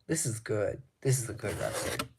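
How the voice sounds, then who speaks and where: A man showing records from his collection in casual talk, laid-back and rambling, his voice slightly raspy, with frequent ums and restarts. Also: fairly low pitch, huge pitch swings, moderate pace, heavy background noise.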